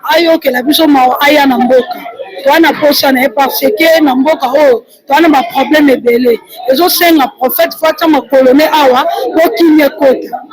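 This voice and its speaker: A woman's voice: high-pitched, rough recording. A woman with a Congolese accent giving a spontaneous testimony as a church member. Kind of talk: spontaneous testimony mixing languages. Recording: live church, crowd audible behind.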